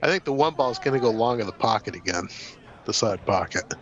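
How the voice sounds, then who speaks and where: A man's voice: fairly low pitch, animated, a little fast, fairly bright tone, muffled recording. A man co-commentating on a pool match, explaining shots in an easygoing, casual way.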